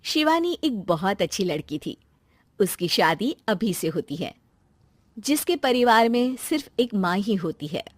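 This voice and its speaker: A woman's voice: mid-range voice, big pitch swings, measured pace, no room sound. A woman reading scripted story narration.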